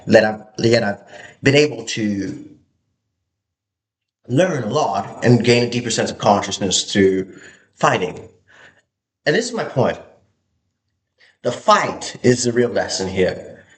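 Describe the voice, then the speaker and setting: A young man from Hong Kong giving an earnest, halting motivational monologue to camera, often repeating his words. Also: mid-range voice, echoey room.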